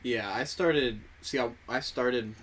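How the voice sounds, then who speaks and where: A man's voice: medium pitch, takes it slowly, fairly bright tone, a little hiss or hum, poor audio. A young man whose first language is Spanish, co-hosting a podcast in casual chat and rambling through stories while he often corrects himself. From United States. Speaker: podcast co-host.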